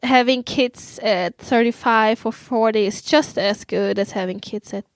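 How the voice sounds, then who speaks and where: A woman's voice: fairly high pitch, unhurried, dry recording. Giggly woman hosting a podcast. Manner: giggly, chatty. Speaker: podcast host. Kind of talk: casual banter.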